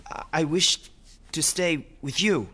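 Proud young man, slow and bright. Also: on the high side. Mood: earnest, defiant. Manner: earnest, formal, halting. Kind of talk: radio drama acting.

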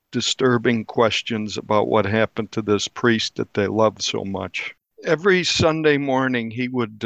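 Guy reporter telling a crime story in an interview, measured, mid pitch, dry slightly dull recording. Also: no echo. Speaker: investigative newspaper reporter. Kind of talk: interview, recounting a crime case.

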